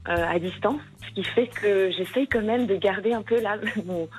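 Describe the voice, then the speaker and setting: A Parisian woman speaks as a radio guest, easygoing and thoughtful, with light laughs between her sentences. Her voice sits at an average pitch. Soft music plays faintly behind her. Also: moderate pace. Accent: Parisian French. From France. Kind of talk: radio interview.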